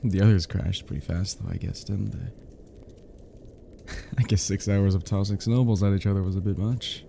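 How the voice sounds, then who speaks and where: A man acting a deep, mellow, flirty close friend. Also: steady tone, normal speed, creaky, slight background noise. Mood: tender teasing. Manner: soft, hesitant murmur with frequent chuckles. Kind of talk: intimate ASMR roleplay audio drama.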